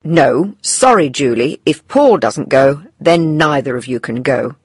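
A man voicing a middle-aged mother, firm but kindly and measured, in an audiobook. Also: fairly high pitch, lively intonation, slightly rough, dry recording, voice pushed up, heavily acted. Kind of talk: audiobook character voice for language learners.

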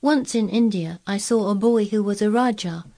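A woman's voice: medium pitch, normal speed, dark tone, voice pushed up, lightly acted. A woman voicing a frank, strong-willed, earnest young girl in storybook dialogue. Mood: frank, thoughtful. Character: frank, strong-willed, curious ten-year-old girl.

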